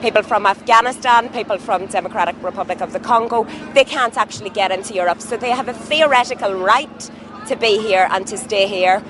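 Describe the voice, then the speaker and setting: A woman's voice: mid-range voice, brisk. A woman, an activist speaking emphatically in a news interview. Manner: impassioned, emphatic. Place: outdoors at a city rally. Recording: outdoor traffic noise.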